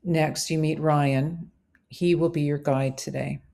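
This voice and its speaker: A woman narrating a scripted guided visualization as a meditation host, in measured, deliberate phrases. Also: sits low, steady tone, creaky, mellow.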